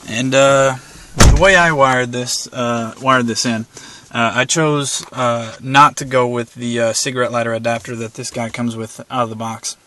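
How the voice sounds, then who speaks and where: A man's voice: medium pitch, steady tone, normal speed, hardly any pauses, bright, forceful tone, a little hiss or hum. A man giving a casual, rambling walkthrough from inside his car, filming with a handheld camera and talking with lots of "uh" fillers. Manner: rambling, many "uh" fillers, plain-spoken. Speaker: video maker showing his car setup.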